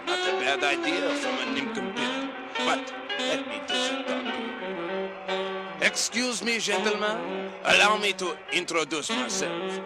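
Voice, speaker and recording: Pompous, high-pitched detective. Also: male voice, big pitch swings, unhurried. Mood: pompous, irritable. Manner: pompous, boastful, theatrical. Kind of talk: comic radio-drama acting on a children's record. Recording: vintage children's LP with prominent music.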